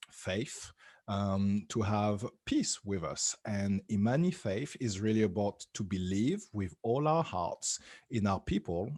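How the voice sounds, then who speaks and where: A man hosting an interview in an upbeat way, with a sing-song greeting and some halting restarts. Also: lowish voice, no room sound, studio quality. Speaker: interview host. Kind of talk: online interview.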